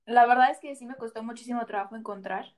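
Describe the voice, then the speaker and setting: A woman's voice: a little high, fast talker, slight background noise. A woman with a Mexican accent, a guest in a casual chat, giving candid opinions with frequent fillers. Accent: Mexican Spanish.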